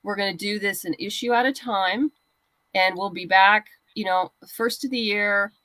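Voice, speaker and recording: A woman's voice: medium pitch, steady tone, normal speed, bright, forceful tone, dry recording, rough recording. Woman guest chatting casually, close dry sound. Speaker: guest writer and comic creator. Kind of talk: casual interview chat about crowdfunding.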